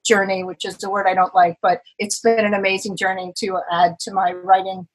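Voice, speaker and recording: A woman's voice: medium pitch, brisk, rough recording. A woman in her sixties from Los Angeles, talking chattily as a guest over a video call. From Los Angeles, California. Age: 60s. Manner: chatty, animated, self-deprecating. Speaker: podcast guest, writer and poker player.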